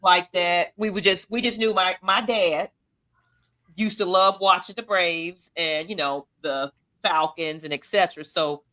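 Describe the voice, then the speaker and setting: Bright-voiced chatty woman. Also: fairly even intonation, a little slow. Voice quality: low-toned voice. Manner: laughs often, loose and chatty. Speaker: podcast co-host. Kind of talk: casual fan chat.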